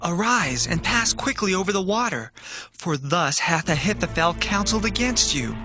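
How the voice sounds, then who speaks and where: An urgent messenger to an ancient king. His voice is high for a man, pitched for scripture drama. Clear music runs behind him. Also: lively intonation, moderate pace.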